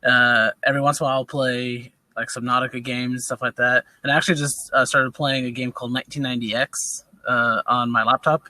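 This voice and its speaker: A man's voice: mid-range voice, flat delivery, a little fast, few pauses, sharp tone, no room sound, low-quality recording. A man in his thirties, co-hosting a casual gaming podcast, talking with many fillers and restarts. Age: 30s. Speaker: podcast co-host. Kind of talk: casual chat.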